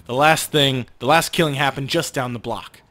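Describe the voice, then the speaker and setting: A man's voice: medium pitch, normal speed, pushed and bright, lightly acted. A man plays an earnest convert in a video game, full of zeal. He pleads with urgency, as if trying to win someone over. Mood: urgent. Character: earnest, zealous man pleading with his ex-girlfriend. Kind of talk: video game dialogue read aloud.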